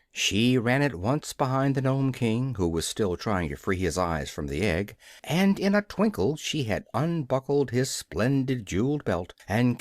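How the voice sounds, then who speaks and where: A man reading a children's story aloud as an expressive audiobook narrator. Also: medium pitch, dry recording, studio quality.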